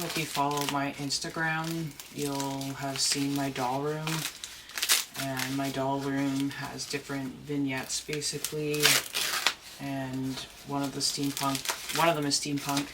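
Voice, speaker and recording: A deep-voiced person chatting casually while unboxing a doll at home, rambling and gushing with excitement. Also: measured pace. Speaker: doll collector and vlogger. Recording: noisy home recording.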